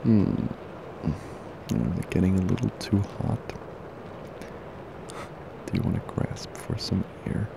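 A man doing an intimate boyfriend ASMR roleplay close to the mic, murmuring softly, drowsily and affectionately. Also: big pitch swings, slow pace, long pauses, noisy background. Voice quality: low, gravelly, creaky. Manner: soft, drowsy, affectionate murmuring, often breathy half-whisper. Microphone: close ASMR microphone.

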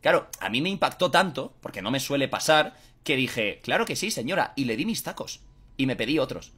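A young man tells an animated, conversational story straight to the camera. His voice is of middle pitch for a man. He sits indoors wearing a headset. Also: a little fast, slightly rough. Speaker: YouTuber. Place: indoors in a room.

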